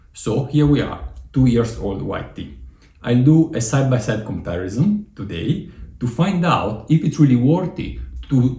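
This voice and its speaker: A man with an Italian accent hosting a tea tasting, talking in a relaxed, chatty way with frequent fillers. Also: medium pitch, reverberant. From Italy. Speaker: tea channel host.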